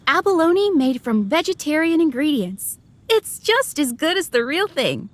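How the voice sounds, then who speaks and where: A woman's voice: high voice, very animated, measured pace, a little hiss or hum. A woman playing a polite, eager young waitress in a video game, presenting dishes to guests. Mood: proud, inviting.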